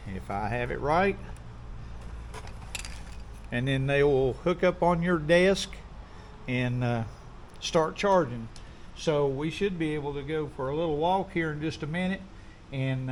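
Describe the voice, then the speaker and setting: Host type: easygoing man, slightly higher voice, folksy and unhurried, outdoor park walkthrough. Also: a little hiss or hum. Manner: relaxed, folksy, many "uh" pauses. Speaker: video host doing an unboxing. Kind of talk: casual unboxing walkthrough.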